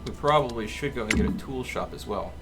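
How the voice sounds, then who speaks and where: A man's voice: mid-range voice, a little fast, music in the background. A man doing live gameplay commentary as a streamer, chatting to his viewers and muttering to himself as he plays. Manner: talks to chat and mutters to himself. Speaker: game streamer.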